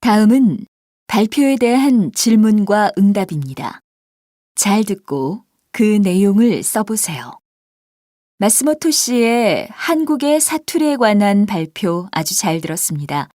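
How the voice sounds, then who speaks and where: Woman, textbook instructions, polite and clear, lively pitch, mellow tone. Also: unhurried, dry recording, studio quality. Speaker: textbook narrator and questioner. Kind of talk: scripted textbook listening recording.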